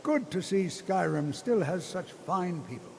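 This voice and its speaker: A man playing a kindly, measured old wizard scholar, gentle and slightly hesitant, in a fantasy video game. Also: high voice, expressive, gravelly, exaggerated voice. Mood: reflective. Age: older. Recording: long hall reverb, noisy ambience.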